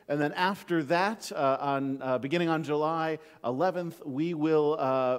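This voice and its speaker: A middle-aged man as pastor welcoming people to worship, friendly and halting, with many fillers, standing in a church sanctuary. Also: a little high. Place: church sanctuary before the altar. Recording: sanctuary with long reverb.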